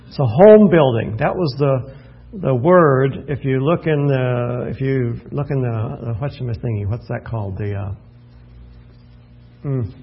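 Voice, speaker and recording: A man's voice: mid-range voice, slightly rough, dull audio. A man preaching at an evening Bible study in a folksy, self-deprecating way, thinking aloud and restarting his phrases. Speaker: pastor.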